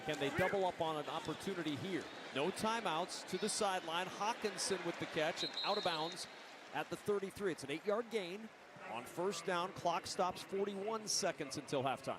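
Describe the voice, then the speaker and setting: A man's voice: high voice, normal speed, noisy background. A man calling football play-by-play for a broadcast in short, clipped phrases. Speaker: play-by-play announcer. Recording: loud stadium ambience behind broadcast.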